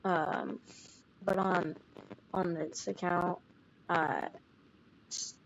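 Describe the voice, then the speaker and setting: A woman's voice: fairly low pitch, fairly even intonation, very slow, lo-fi sound, a little hiss or hum. A woman streaming live, chatting casually as she plays, with game music behind her. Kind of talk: casual chat while playing a game. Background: video game music.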